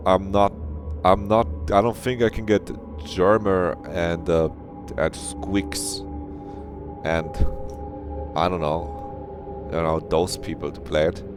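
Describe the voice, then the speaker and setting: Low-voiced man streaming a game, rambling casually. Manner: rambling, joking, profane. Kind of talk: casual live-stream chat while reading a visual novel aloud.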